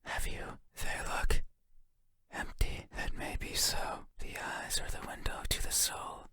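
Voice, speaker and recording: A man voicing a lonely, faceless horror game entity, menacing and mournful, in a breathy whisper with a slow, halting, intimate delivery. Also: natural voice. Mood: hollow, mournful. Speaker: voice actor.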